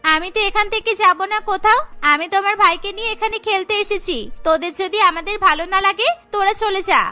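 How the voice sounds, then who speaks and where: A woman's voice: very high-pitched, fast talker, bright, forceful tone, soft background music. A woman voicing a bold, protective young girl, a bossy but caring big sister in a cartoon. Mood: indignant, protective. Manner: feisty, scolding, protective. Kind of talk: cartoon dialogue acting.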